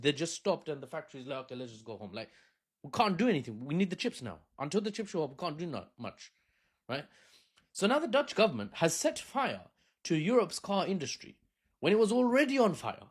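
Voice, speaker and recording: A man hosting a casual political commentary, animated and joking with sarcastic asides. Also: a little high, breathy edge. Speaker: host.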